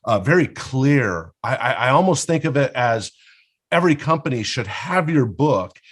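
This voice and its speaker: A man's voice: medium pitch, animated, moderate pace, fairly bright tone, breathy edge. A man hosting an interview podcast, speaking enthusiastically and effusively with rambling asides. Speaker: podcast host.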